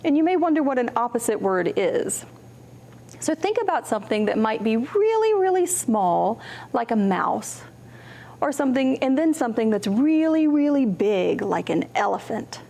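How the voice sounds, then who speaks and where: A woman hosting a children's storytime, reading and explaining in simple, deliberate phrases for young children. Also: on the high side, sing-song, creaky, mellow.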